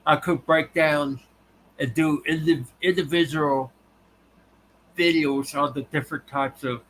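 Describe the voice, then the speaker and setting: A man hosting a casual livestream, talking haltingly with a tired, dry voice. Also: on the high side, fairly even intonation, fairly bright tone, slight background noise, rough recording. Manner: halting, restarts often. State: voice tiring and dry. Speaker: livestream host. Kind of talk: casual live chat with viewers.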